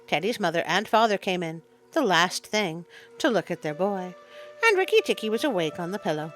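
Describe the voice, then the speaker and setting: A woman reading a story aloud in an expressive, dramatic storytelling style. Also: on the low side, fairly quick, faint music behind, poor audio. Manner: dramatic read-aloud, with interjected asides. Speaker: storyteller, show host. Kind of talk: expressive scripted story narration.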